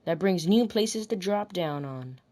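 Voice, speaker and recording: Low-pitched woman narrating about a video game. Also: lively intonation, mellow. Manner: deliberate, restarts and rephrases whole sentences. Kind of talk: scripted game commentary with repeated retakes.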